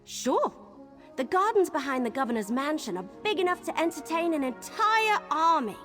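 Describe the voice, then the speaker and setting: A woman's voice: sits high, big pitch swings, moderate pace, a bit bright. A woman playing an eager, chatty young adventurer in a video game, with bubbly, folksy exclamations. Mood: cheerful, chatty. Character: eager, chatty young female adventurer who loves cake. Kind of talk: video game character dialogue. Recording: game music underneath. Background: game music.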